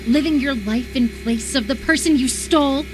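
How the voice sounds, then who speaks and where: A woman playing an obsessive, sweetly menacing young female captor in a dramatic horror visual novel, coaxing with a sharp edge. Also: sits high, normal speed, noisy background, lightly acted. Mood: eerie, accusing.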